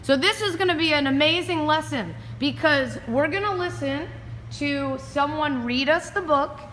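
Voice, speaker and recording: A woman's voice: sits high, normal speed, fairly bright tone, big-room sound, slight background noise. A woman PE teacher giving classroom instructions to children in a school gym, encouraging and coaching them. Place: school gymnasium.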